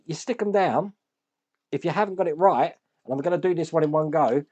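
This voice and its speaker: Hoarse man chatting as he builds. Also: a little high, brisk, a little mellow, dull audio. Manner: chatty, self-deprecating, thinks aloud while working. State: hoarse, deep voice from shouting. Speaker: model-building channel host. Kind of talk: casual hands-on build commentary.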